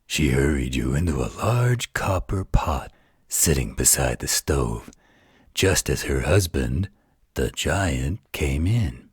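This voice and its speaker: A man playing a gentle, patient werewolf who tells a bedtime story in a soothing, tender manner. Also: very deep voice, animated, takes it slowly, husky, dry recording, studio quality, heavily acted. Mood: soothing storytelling. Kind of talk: intimate bedtime roleplay storytelling.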